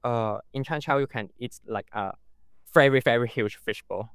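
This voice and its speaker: A man's voice: medium pitch, moderate pace, fairly bright tone, clean tone, dry recording. Hesitant man with a Hong Kong accent. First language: Cantonese. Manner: hesitant, many fillers and restarts. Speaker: podcast guest. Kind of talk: casual interview talk.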